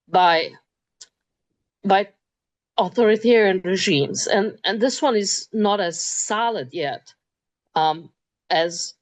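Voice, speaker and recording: A woman, hosting a talk in a thoughtful way, pausing with many ums. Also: medium pitch. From Transylvania, Romania. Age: 50s. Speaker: interview host. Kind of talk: moderated talk.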